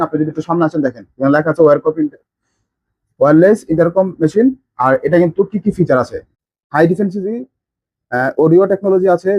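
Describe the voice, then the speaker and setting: A man's voice: a little high, dry recording, muffled recording. A man with a Bangladeshi accent giving an informal sales demonstration, with eager, repetitive patter as a shop presenter.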